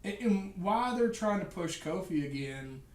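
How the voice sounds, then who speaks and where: A man's voice: high-pitched, lively intonation, measured pace. A man co-hosting a casual wrestling podcast, talking with many fillers and restarts. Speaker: podcast co-host. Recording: echoey room, distant mic.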